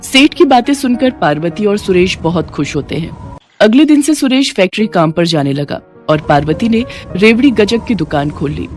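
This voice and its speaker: Lively woman narrating a moral story. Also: medium pitch, moderate pace, soft background music.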